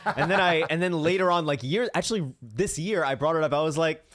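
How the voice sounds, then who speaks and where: A male comedian co-hosting a podcast, telling relaxed stories and laughing often. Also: on the high side, fairly quick. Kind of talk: casual podcast chat.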